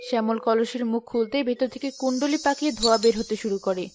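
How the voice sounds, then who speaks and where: A woman narrates a fairy tale from a script at a quick pace. Her voice sits a little high, with music clearly behind it. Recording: music bed under the voice.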